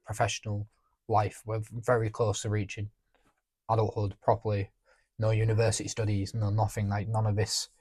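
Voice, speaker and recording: Young man, low voice, flat delivery, dry close sound, hesitant and reflective. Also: breathy edge, dry recording. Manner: halting, reflective, many restarts. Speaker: podcast co-host. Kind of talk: candid emotional conversation.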